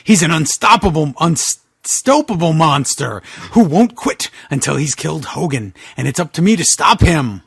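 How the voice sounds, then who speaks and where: A man voicing an excitable monster wrestler hero in a mock-dramatic fan fiction reading, with overwrought theatrical exclamations. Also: fairly high pitch, moderate pace, creaky, husky, no room sound, full character voice. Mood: panicked.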